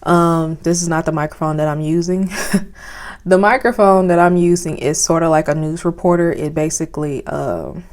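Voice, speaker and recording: A soft-spoken young woman, chatting casually with lots of fillers as she reviews cameras at home, recorded on a phone with a clip-on mic. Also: deep voice, normal speed. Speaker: vlogger. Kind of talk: casual product review vlog. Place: home, indoors. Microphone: phone with clip-on lapel mic.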